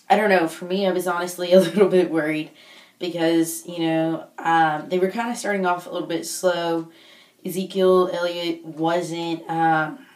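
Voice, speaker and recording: Low-voiced woman, echoey and distant. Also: darkish tone. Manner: rambling, hesitant, searching for words. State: recovering lost voice after being sick. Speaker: video blogger, football fan. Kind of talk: casual unscripted vlog chat. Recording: echoey room, distant microphone.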